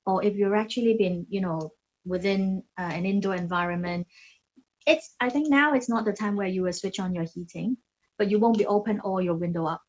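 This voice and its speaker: A woman's voice: medium pitch, a little fast, dull audio. Female facialist-founder from Hong Kong, mid-range voice, informal how-to delivery, searching for her words and repeating phrases. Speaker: beauty brand founder and facialist giving a demo. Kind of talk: casual product demonstration and explanation.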